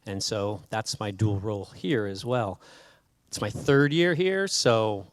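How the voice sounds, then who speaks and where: A man from the United States, a school counselor introducing himself to parents. He speaks in a relaxed, conversational way and asks the audience questions. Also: fairly low pitch, a little slow.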